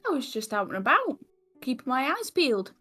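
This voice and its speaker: A woman's voice: sits high, sing-song, brisk, sharp tone, breathy edge, faint music behind. A woman playing a tabletop game, speaking guardedly in character and narrating her actions. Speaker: tabletop player.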